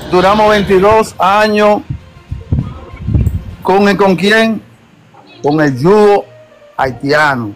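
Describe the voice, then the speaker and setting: A Dominican-accented man defends himself on an online debate call. His voice sits somewhat high and he keeps repeating himself to hold the floor, with faint music running under the call. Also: poor audio. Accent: Dominican Spanish. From Dominican Republic. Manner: insistent, talks over others, repeats himself. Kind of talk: heated debate. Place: home, living in the United States.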